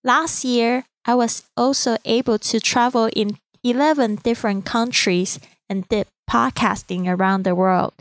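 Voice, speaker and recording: Taiwanese-accented woman, high bright voice. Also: a little slow, hardly any pauses, no room sound. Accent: Taiwanese Mandarin. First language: Mandarin. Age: 30s. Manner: calm, earnest and inspirational. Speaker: podcast host. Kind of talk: scripted podcast narration.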